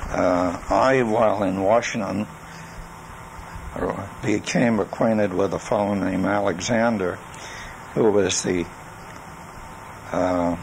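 An older man recording a spoken memoir on cassette, reminiscing in a deliberate, halting way with long pauses. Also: sits low, steady tone, creaky. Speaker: storyteller recalling his life and legal practice. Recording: noisy 1980s home cassette recording.

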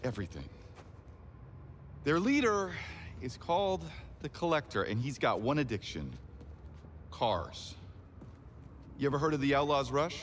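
An actor playing an intense, scheming, persuasive casino owner in a video game. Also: male voice, mid-range voice, very animated, unhurried, pauses often, slight background noise.

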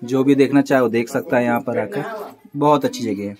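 A woman's voice: rumbling low, steady tone, a little fast. A woman chats and narrates casually as a vlogger, recorded on a handheld phone inside a cave. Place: inside a natural cave temple. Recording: busy crowd chatter behind, phone recording. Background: visitors talking.

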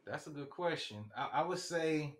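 A man's voice: a little high, animated, normal speed. A man from Los Angeles, a rapper guest in a casual interview, talking in an easygoing way with street slang.

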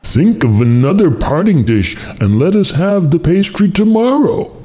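A man's voice: a little high, sing-song, measured pace, mellow, a little hiss or hum, voice pushed up. A man voicing a blustering, short-tempered Grand Duke in a storybook, imperious and emphatic. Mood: demanding.

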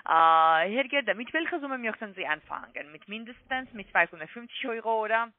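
A woman with a foreign accent in German, a non-native speaker, making an insistent scripted sales pitch as a call-centre agent, with repeated tag questions. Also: expressive, brisk, no room sound. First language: not German. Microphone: phone call. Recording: muffled tinny phone line.

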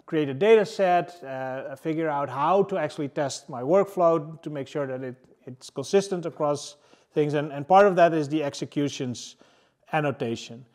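Casting: presenter in his thirties, slightly raised voice, halting delivery full of fillers, audience room. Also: fairly high pitch, a little mellow. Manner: halting, many fillers and restarts. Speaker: engineering manager presenting. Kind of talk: casual tech meetup talk. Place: large room with a seated audience, indoors.

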